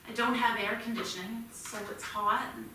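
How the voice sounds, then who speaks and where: A woman's voice: fairly high pitch, fairly even intonation, moderate pace, lightly acted. An actress playing a protective, indignant mother in a staged play reading, flustered and defensive. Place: theatre stage, indoors. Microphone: distant room microphone. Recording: very echoey theatre, distant mic, noisy.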